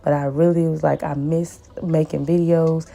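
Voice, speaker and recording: Hoarse, raspy low-voiced woman. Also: level tone, normal speed. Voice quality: raspy and deep. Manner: repeats words for emphasis, warm pleading asides. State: hoarse from the flu. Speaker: nail tech vlogger. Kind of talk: casual voice-over tutorial.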